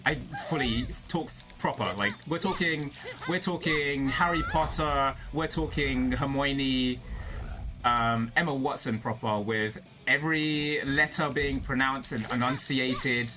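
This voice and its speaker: A man's voice: medium pitch, slow pace. Chatty British man streaming over music. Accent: British with a slight American tinge. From Britain. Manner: rambling, laughs often, many fillers and restarts. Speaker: VTuber streamer. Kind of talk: casual chat with stream viewers. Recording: music playing behind the voice.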